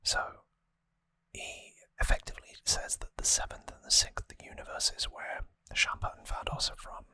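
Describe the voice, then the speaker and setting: Close, breathy ASMR whisper, very dry. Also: moderate pace, bright, forceful tone, no room sound. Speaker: host of a trivia ASMR show. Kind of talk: ASMR whispered episode recap. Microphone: close microphone.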